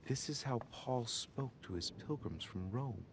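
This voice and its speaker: An actor playing an inquisitive young man investigating a mystery in a video game, musing aloud in a deliberate, theatrical way. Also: medium pitch, lively intonation, slight background noise. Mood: musing, curious. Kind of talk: dramatic game narration, thinking aloud.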